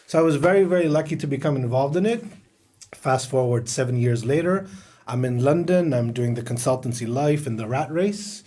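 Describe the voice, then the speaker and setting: A middle-aged man who grew up in Greece, a podcast guest telling anecdotes in a relaxed interview chat. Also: medium pitch, brisk. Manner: storytelling, anecdotal, laughs while talking.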